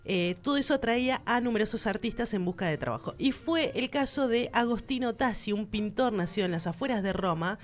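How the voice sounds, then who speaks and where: A woman's voice: fairly high pitch, lively intonation, a little fast, few pauses. Chatty Rioplatense woman explaining art history. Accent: Rioplatense Spanish. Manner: chatty, emphatic, addresses the listener directly. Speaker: culture segment host.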